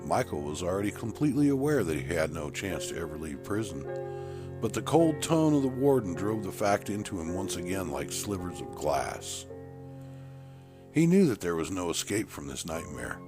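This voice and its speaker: A man reading a scripted horror story as a dramatic narrator. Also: on the low side.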